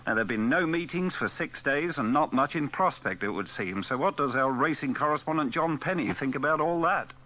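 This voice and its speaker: A man's voice: fairly high pitch, lively intonation, brisk, few pauses, pushed and bright. A man presenting a sports link on the radio. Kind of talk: broadcast link.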